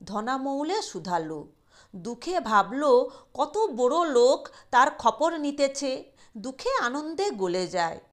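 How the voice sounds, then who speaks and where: High-voiced woman narrating, slow and measured. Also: sing-song. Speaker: story narrator. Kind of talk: literary short story reading.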